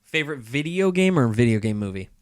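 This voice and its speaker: A man's voice: medium pitch, very animated, quick pace, clean tone, dry recording, studio quality. A man speaking as a podcast guest in casual, opinionated discussion, emphatic and repeating points for stress.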